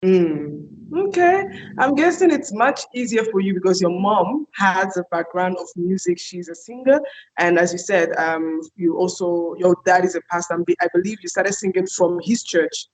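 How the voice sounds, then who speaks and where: A woman's voice: lowish voice, lively intonation, normal speed, low-quality recording. A woman hosting an online TV interview, chatty and enthusiastic, with frequent fillers. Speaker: host.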